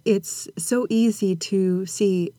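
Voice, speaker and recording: A woman's voice: medium pitch, fairly even intonation, takes it slowly, warm tone, no echo. A woman hosting a reflective podcast, interviewing a guest and reading scripted narration. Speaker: podcast host.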